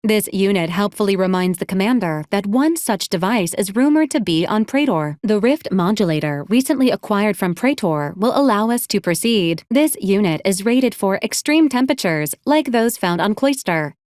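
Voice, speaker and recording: A dutiful female combat android from a video game, played by a woman. She speaks in a formal, clinical deadpan at a middling pitch, coldly polite even when she is being bluntly violent. The sound is close and dry, with no room around it. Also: normal speed, few pauses, no echo, natural voice. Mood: formal, matter-of-fact. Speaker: robotic companion to a commander. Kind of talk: scripted video game character lines.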